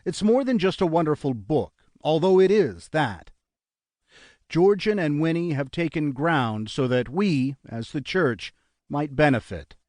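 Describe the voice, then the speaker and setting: Male audiobook reader, slightly high voice, measured and earnest, close dry booth sound. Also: expressive, darkish tone, no echo. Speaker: audiobook narrator. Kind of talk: scripted narration.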